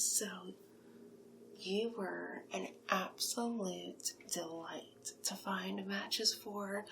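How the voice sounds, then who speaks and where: A woman playing a flattering, gossipy sphinx matchmaker in a soft-spoken, whispery ASMR roleplay. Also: medium pitch, creaky, slight background noise. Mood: flattering, welcoming. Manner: coaxing, flattering, chatty, whispery in places.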